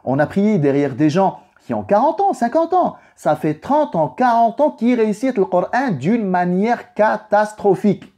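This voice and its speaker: Young guy, arabic native, casual motivational vlog talk, mellow voice that swings up and down, repeats stuff to drive it home. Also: high-pitched, sing-song, a little slow, hardly any pauses. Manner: emphatic, rhetorical questions and repetition for stress. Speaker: vlogger giving a personal reflection. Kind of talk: informal motivational religious talk to camera.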